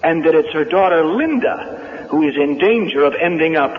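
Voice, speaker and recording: An actor playing a stubborn, probing insurance investigator in a 1970s radio drama. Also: male voice, fairly high pitch, lively intonation, moderate pace, close to an everyday voice. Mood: urgent, uneasy. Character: stubborn, hesitant insurance investigator following a hunch. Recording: echoey, dull, faintly noisy radio recording.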